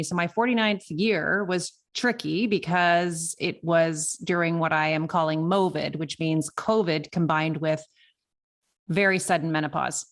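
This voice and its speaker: A fifty-year-old woman hosts a solo podcast in a slightly low voice. She speaks casually and reflectively, like someone thinking back over her life. Her delivery is candid and conversational, leaning on key words. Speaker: podcast host.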